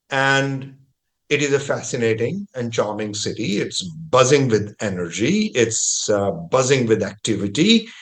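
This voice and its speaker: A middle-aged man hosting a travel podcast, talking in a measured, explanatory, conversational way. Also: mid-range voice, fairly bright tone. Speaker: podcast host.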